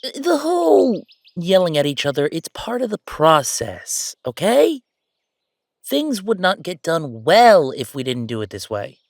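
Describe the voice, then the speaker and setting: Casting: male actor for playful, bossy male ghost roommate, sentimental underneath, very lively pitch, dry close sound, audio roleplay. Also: no echo, exaggerated voice. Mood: excited, teasing. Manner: animated, teasing, banter with pauses and repeats. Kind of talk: roleplay audio drama monologue, conversational.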